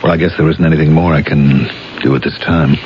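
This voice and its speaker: An actor playing a wistful, awkward, middle-aged police captain in a 1970s radio drama, earnest and hesitant. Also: male voice, rumbling low, fairly even intonation, quick pace, a little hiss or hum. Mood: reserved. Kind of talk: 1970s radio drama acting and first-person narration. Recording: dull old radio recording.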